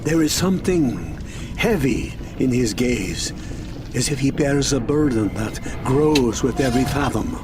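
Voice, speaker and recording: A man's voice: medium pitch, animated, a little slow, close to an everyday voice. A man playing an earnest, observant, worried companion to a professor in an audio drama. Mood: concerned. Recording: clear watery vessel noise behind.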